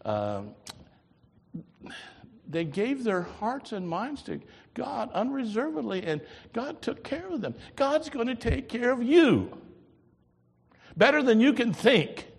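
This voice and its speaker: An older man preaching a sermon as pastor, lively, swinging from loud to soft. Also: very high voice, slow pace, gravelly, long pauses, breathy edge, big-room sound.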